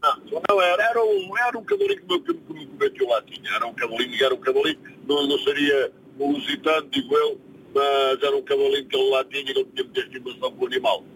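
A man's voice: mid-range voice, lively intonation, rapid-fire, fairly bright tone, a little hiss or hum, low-quality recording. Hesitant European Portuguese male radio guest. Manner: hesitant, repeats words, easygoing. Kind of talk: casual radio chat.

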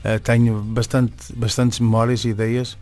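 A man's voice: medium pitch, little pitch movement, unhurried, a little mellow, faint music behind. A man from Venezuela, a native Spanish speaker, chatting casually as an interview guest, hesitating and repeating words. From born in Venezuela, in Portugal from age ten.

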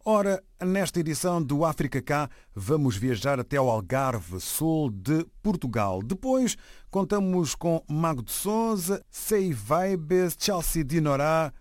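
Radio host guy reading the intro, upbeat announcer vibe, higher voice, dry close studio sound. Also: big pitch swings, unhurried, no echo. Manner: announcer-style, upbeat listing. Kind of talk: scripted show intro.

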